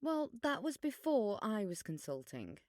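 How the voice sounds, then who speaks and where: A woman's voice: mid-range voice, very animated, unhurried, a little mellow, no room sound, clean studio sound. A woman playing a bossy, fussy, self-important schoolgirl in a scripted audio drama, haughty and theatrical in delivery. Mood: bossy, picky.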